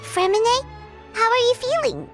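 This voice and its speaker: A woman voicing a tiny, childlike, caring fairy companion with a squeaky, exclamatory delivery in a video game. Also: lively intonation, moderate pace. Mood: concerned. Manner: squeaky, exclamatory, speaks of self in third person. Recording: game music behind the voice.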